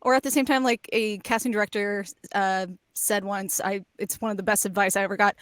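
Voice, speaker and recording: A woman's voice: mid-range voice, steady tone, a little fast, no echo, studio quality. A woman in casual panel chat, chiming in readily as a fellow voice actor. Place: indoors on a video call.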